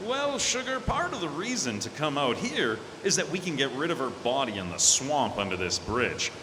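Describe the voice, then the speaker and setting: A man's voice: medium pitch, big pitch swings, moderate pace, close to an everyday voice. A man playing a smug, oily crook with condescending, sugary talk in an old-time radio drama, recorded in a hall. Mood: gloating, menacing. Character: oily, smug male crook, gullible double-crosser. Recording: noisy, echoey live hall recording.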